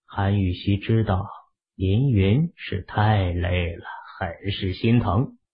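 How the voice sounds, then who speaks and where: A man with a husky voice narrating a fantasy novel as an audiobook in an emotive storytelling manner. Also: lowish voice, slow, slight background noise. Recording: muffled lo-fi audio.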